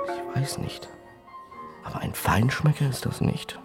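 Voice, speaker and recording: An actor playing a coaxing, snobbish lawyer lover with a knowing, guarded manner in a radio crime drama. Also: male voice, mid-range voice, expressive, unhurried, loud music behind the voice, close to an everyday voice. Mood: suspicious, guarded. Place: restaurant scene in a radio drama.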